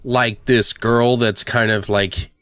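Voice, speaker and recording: A man's voice: mid-range voice, level tone, slow pace, fairly bright tone, no echo, studio quality. A man in casual brainstorming chat as a podcast guest, hesitantly searching for words.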